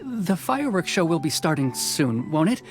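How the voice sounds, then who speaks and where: A man's voice: a little high, very animated, normal speed. An actor playing a nervous, cautious young man in a video game who hesitates and stammers politely. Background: game background music.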